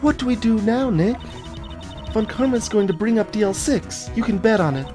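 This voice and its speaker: A woman's voice: a little high, expressive, moderate pace, over music, lightly acted. A woman playing an earnest, inquisitive young female assistant in a video game, with a naive, questioning manner. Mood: worried, puzzled.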